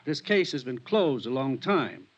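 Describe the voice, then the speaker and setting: An actor playing a polite, uneasy, well-to-do man in a 1950s radio drama, measured and guarded. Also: mid-range voice, big pitch swings. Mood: guarded, curious. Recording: dull vintage radio recording.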